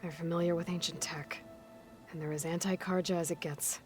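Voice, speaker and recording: An actress playing a determined, level-headed young warrior heroine in a video game, speaking earnestly and directly. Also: female voice, fairly low pitch, fairly even intonation, a little fast, natural voice. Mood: resolute. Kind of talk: video game dialogue acting. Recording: music behind the voice.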